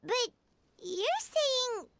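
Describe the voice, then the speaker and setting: A tiny, excitable fairy companion for a video game, with an extremely high, squeaky childlike voice. The delivery is earnest and emotional, swinging widely in pitch, with loud noise behind it. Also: female voice, extremely slow, gravelly, full character voice. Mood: shocked, confused.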